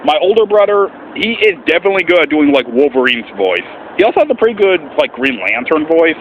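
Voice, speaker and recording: A man talking casually and earnestly in a vlog as an amateur voice actor, with an animated, swooping delivery. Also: a little high, fast talker. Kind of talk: casual vlog explanation with voice demonstrations. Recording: muffled, faint background noise.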